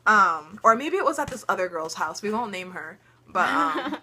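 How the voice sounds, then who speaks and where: A woman's voice: mid-range voice, expressive, normal speed, bright, forceful tone. A teenage girl vlogger telling funny stories in casual chat, laughing often and dropping lots of ums.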